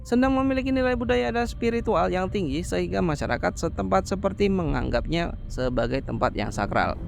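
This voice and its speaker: High-pitched, lively male recap narrator. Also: a little fast, gravelly, a little hiss or hum. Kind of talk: scripted storytelling narration of a horror film plot.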